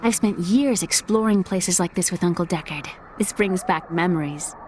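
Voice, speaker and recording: A woman's voice: lowish voice, moderate pace, darkish tone, faint music behind, lightly acted. A young woman voicing an earnest, curious scholar with a dry wit. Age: young adult.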